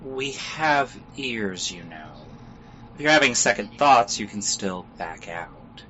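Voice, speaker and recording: A man playing a guarded, businesslike smuggler guide in a fantasy audio drama, brisk and transactional, on muffled audio. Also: lowish voice, lively intonation, bright, forceful tone, heavily acted. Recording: muffled audio with faint noise.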